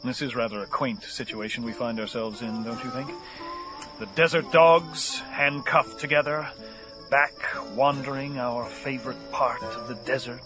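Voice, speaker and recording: A man's voice: mid-range voice, normal speed, music in the background, close to an everyday voice, rough recording. An actor playing a deranged fugitive gunman in an audio horror drama, menacing yet mock-polite and florid in his speech. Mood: rambling, sardonic.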